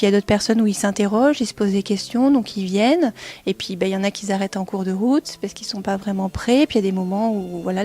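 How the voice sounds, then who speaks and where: Very mellow woman, explaining. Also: medium pitch. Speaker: director of a family support centre, interview guest. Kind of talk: informal explanatory radio interview. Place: small kitchen of a community family centre.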